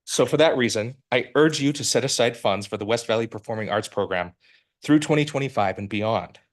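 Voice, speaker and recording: A man's voice: medium pitch, brisk. A man in his forties from Utah, giving a prepared public comment to a city council in an earnest, formal and persuasive way, with a few restarts, into a podium microphone in a council chamber. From Utah, United States. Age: 40s.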